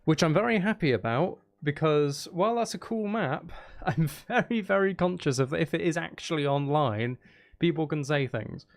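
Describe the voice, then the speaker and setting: A man's voice: a little high, lively intonation, normal speed. A man chatting casually on a live gaming stream, rambling and half talking to himself as he plays. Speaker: game streamer.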